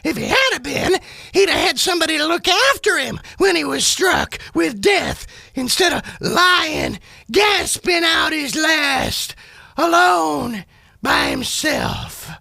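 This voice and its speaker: A man voicing a brazen, greedy old charwoman in a loud, creaky, cackling radio theater performance. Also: extremely high pitch, big pitch swings, takes it slowly, no echo, clean studio sound, voice pushed up, heavily acted. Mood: scornful, greedy. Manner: loud, coarse, gloating.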